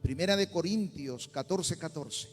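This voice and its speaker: High-voiced Peruvian pastor preaching in a hall. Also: male voice, a little slow, soft background music. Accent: Peruvian Spanish. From Peru. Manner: preaching with rising intensity, bursts into tongues. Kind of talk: sermon. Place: church sanctuary.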